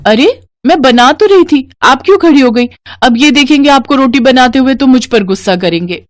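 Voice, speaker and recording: A woman voicing an irritable, stubborn young daughter-in-law in a moral story, with a brisk, complaining manner. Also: high-pitched, animated, no room sound, pitched above the performer's own voice. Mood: grumbling. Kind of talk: scripted Hindi moral-story cartoon voice.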